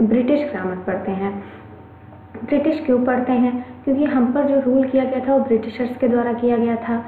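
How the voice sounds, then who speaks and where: An Indian woman gives an online class in a higher voice, chatty and reassuring, going back over each point. Her voice comes through tinny and phone-like. It rings in an echoey room with faint noise behind it. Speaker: online English teacher. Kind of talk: Hindi-English mixed classroom lecture.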